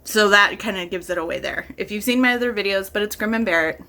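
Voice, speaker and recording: A woman's voice: mid-range voice, fast, sharp tone. A woman chatting casually as a vlogger and reviewer, gushing with enthusiasm and leaning hard on emphatic words. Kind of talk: casual chat to camera.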